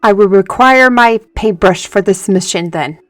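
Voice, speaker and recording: An actress playing a defiant, hot-tempered mage on parole in a fantasy audio drama. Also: female voice, medium pitch, moderate pace, no room sound, soft background music, lightly acted. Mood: reluctant.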